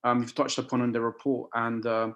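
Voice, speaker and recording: Hesitant man, flat and even. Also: medium pitch, a little fast, highs cut off. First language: Spanish. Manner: hesitant, many fillers. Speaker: meeting participant. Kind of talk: casual contribution to an online council meeting.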